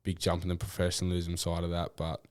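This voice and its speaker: A young Australian man answering interview questions about his football in a relaxed, rambling way, with many fillers and restarts. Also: low voice, quick pace, dry recording, studio quality. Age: young adult. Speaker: draft prospect guest. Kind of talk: casual interview.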